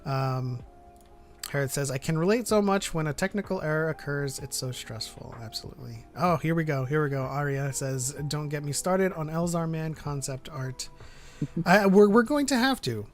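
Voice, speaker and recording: A man with a fairly high voice hosts an online stream. He talks casually and haltingly, reading comments from viewers as he goes. Light music plays in the background. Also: expressive. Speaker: live stream host. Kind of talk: casual chat, reading out live chat. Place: online group live stream.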